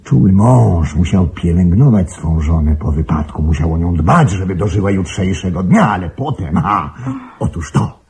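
An actor playing a hot-headed, defiant intruder in a radio play, protective and accusing, with an agitated, urgent delivery. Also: male voice, sits low, animated, normal speed, a little mellow. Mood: accusing, bitter. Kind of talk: Polish radio play acting.

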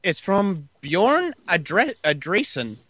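A man co-hosting a casual movie review chat, reading out scores and reviews with halting fillers. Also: high-pitched, sing-song, fairly bright tone, breathy edge, no room sound, muffled recording. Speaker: podcast co-host.

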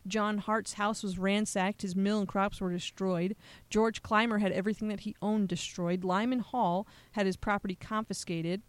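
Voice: medium pitch, fairly even intonation, normal speed, smooth, no echo. Radio host narrating patriotic history.

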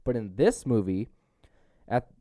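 A young man hosting a podcast, chatting casually through a movie review with animated recaps and informal asides. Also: fairly low pitch, a little slow, no room sound, studio quality. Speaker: podcast host.

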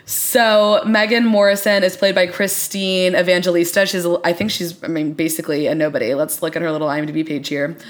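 Woman podcast host, kinda low voice, chatty and really into it, emphatic about tv stuff. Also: steady tone, a little fast, hardly any pauses. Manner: enthusiastic, emphatic. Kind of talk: casual TV discussion chat.

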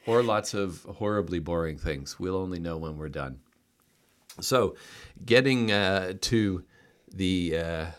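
Low-voiced man co-hosting a podcast. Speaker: podcast co-host, company co-founder. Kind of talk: casual podcast chat.

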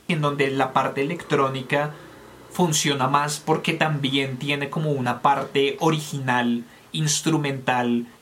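A man from Colombia talks through new songs in a casual review show. He is candid and opinionated, sorting out his thoughts aloud with plenty of fillers and restarts. His voice sits at an ordinary middle pitch. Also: a bit bright. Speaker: music reviewer co-host. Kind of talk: casual music review chat.

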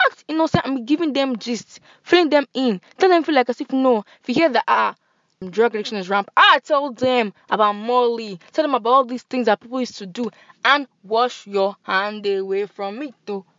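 A young woman with a Nigerian accent hosting a podcast in casual, animated chat. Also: fairly high pitch, normal speed, no echo, lo-fi sound. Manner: chatty, animated, laughs and switches into pidgin. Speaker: podcast host.

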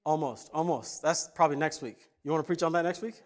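A man's voice: fairly high pitch, quick pace. A man from Kenya preaching a casual, jokey sermon and telling stories. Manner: conversational, jokey, animated. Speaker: pastor.

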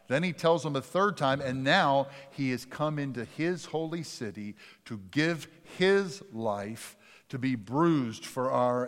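A man preaching a sermon as pastor, talking conversationally and dropping from loud to hushed for emphasis. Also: mid-range voice. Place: church sanctuary. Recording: long reverb of a church sanctuary.

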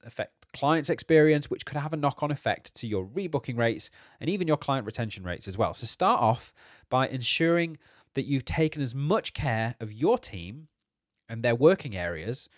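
A man hosting a business podcast, a little hoarse from a cold, talking in an upbeat, encouraging and practical way. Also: mid-range voice, dry recording, studio quality. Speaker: podcast host. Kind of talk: solo business podcast talk.

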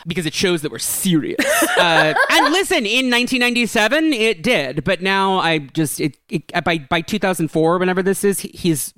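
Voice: mid-range voice, sing-song, moderate pace, few pauses, a bit bright, dry recording, clean studio sound. A speaker in a casual pop-culture discussion, giving opinionated, emphatic takes with a wry edge.

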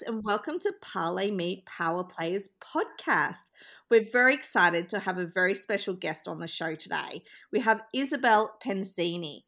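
A woman's voice: mid-range voice, fairly bright tone. A woman hosting a business podcast, reading a prepared introduction in an upbeat way and then interviewing her guest. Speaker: podcast host.

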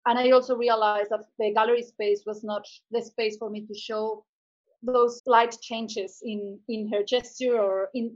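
A woman from Mexico, speaking thoughtfully in an interview and often pausing with fillers as she searches for words. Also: on the high side, dull audio. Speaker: artist guest. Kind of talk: conversational interview.

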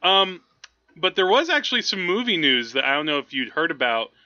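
A man with a very bright, forceful voice co-hosts a casual podcast. His delivery is animated and jokey, and he laughs readily. The audio is slightly dull and lo-fi. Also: on the high side, fairly quick. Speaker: podcast co-host. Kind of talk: casual movie chat.

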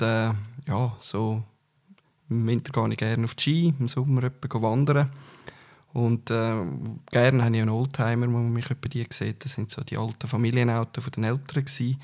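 A middle-aged man with a Swiss German accent, faintly coloured by the Uri dialect, speaking at a medium pitch for a man. He answers a journalist's questions calmly but haltingly. He often pauses on filler sounds before going on. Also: gravelly. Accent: Swiss German, mildly Uri dialect. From Canton Uri, Switzerland. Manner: hesitant, frequent filler sounds. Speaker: political candidate. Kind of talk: interview answers.

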